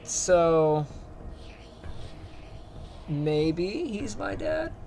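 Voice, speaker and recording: A man with a light, higher-pitched voice, casually rambling to his chat as he plays a game and streams. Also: sing-song, very slow, a little mellow, a little hiss or hum. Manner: rambling, chatty, talks to chat while playing. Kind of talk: casual gameplay commentary.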